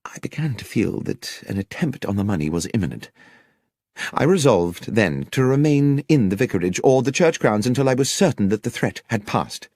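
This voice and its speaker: An earnest village vicar played by a male audiobook reader gives his account with formal precision. His voice moves expressively up and down at a middling pitch, in a very dry, close sound. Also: sing-song, normal speed, darkish tone, dry recording. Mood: earnest, uneasy. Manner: formal, wordy, precise storytelling. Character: earnest village vicar recounting a theft to detectives. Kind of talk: audiobook character dialogue.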